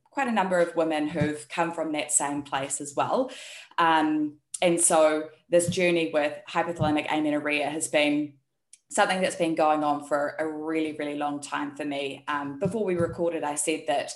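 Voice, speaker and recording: Woman, New Zealand accent, very low voice, relaxed with many ums, echoey room sound. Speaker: guest, health and performance coach. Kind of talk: casual interview chat.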